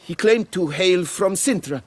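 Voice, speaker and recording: A man's voice: high voice, expressive, a little slow, no room sound. An actor playing a proud but servile nobleman winemaker in a video game, speaking formally and with deference. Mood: contrite. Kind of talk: dramatic video game acting.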